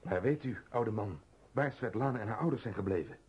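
An actor playing a seasoned, plain-spoken brother-in-arms in a radio drama, with a steady, assured manner. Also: male voice, lowish voice, brisk, highs cut off, close to an everyday voice. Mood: questioning. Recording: noisy old radio play recording.

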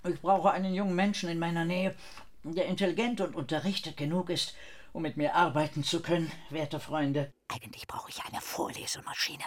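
An actor playing an ailing, brooding philosopher in an audio drama, witty and fervent, with formal, declamatory phrasing. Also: male voice, fairly high pitch, fairly even intonation, fairly quick, slight background noise, natural voice. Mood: brooding, eager. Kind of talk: German audio drama acting.

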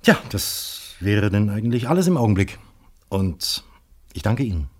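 Deep-voiced, deliberate police official. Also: male voice, big pitch swings. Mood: calm, reassuring. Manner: measured, hesitant, deliberate, with thoughtful murmurs. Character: calm, fatherly, probing male police official. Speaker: actor in a radio crime drama. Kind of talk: 1970s radio play dialogue.

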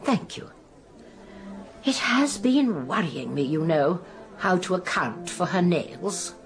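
Older English spinster sleuth, radio drama, lilting low-set voice, genteel, music underneath. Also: female voice, lively intonation, slow. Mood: musing. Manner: musing, precise, genteel. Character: shrewd elderly English spinster sleuth, gently certain.

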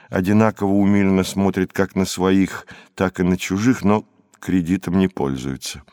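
A man reading literary prose as an audiobook narrator, in a measured, expressive storytelling manner. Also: fairly low pitch, dry recording, clean studio sound. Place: dry studio.

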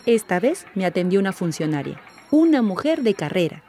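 A proud, witty mother narrates her own story in a radio drama. Her voice is soft-edged and mid-pitched, with measured, expressive delivery and light music behind it. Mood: reflective narrating. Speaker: narrator and lead in a radio play.

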